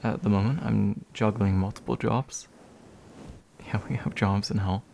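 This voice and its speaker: A man playing a gentle, slightly bashful demon in a soft, soothing, intimate ASMR comfort roleplay. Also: on the low side, fairly even intonation, vocal fry, a little hiss or hum. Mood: calm, explanatory. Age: young adult. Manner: soothing, hushed, unhurried, tender.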